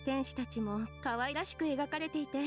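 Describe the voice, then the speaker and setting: A woman playing a polite, gentle young nun in a Japanese visual novel. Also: high-pitched, racing, music in the background, lightly acted. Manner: polite, gentle, softly formal.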